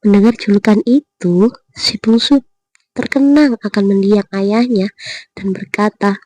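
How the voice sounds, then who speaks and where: Slow-paced woman telling a bedtime tale. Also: mid-range voice, warm tone, dry recording. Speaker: storyteller. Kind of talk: narrated bedtime fairy tale.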